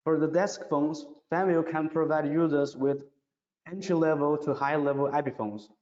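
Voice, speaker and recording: A man with a slightly high voice gives a scripted online product presentation. His delivery is careful and a bit halting, and the sound is dull, with a long, roomy reverb. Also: fairly even intonation, a little mellow. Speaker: webinar presenter. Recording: dull, echoey, reverberant online webinar audio.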